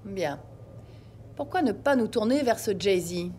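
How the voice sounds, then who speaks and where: Casting: authoritative police boss, mid-pitched, formal and commanding, audio series with faint ambience. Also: female voice, lively intonation, takes it slowly, darkish tone, a little hiss or hum. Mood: decisive. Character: authoritative female police chief, formal and shrewd. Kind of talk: dramatic audio series acting.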